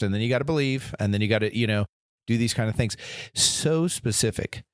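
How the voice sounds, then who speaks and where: A man's voice: mid-range voice, no echo, clean studio sound. A man co-hosting a casual faith podcast, talking earnestly with frequent restarts and quoted imagined voices. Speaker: podcast co-host.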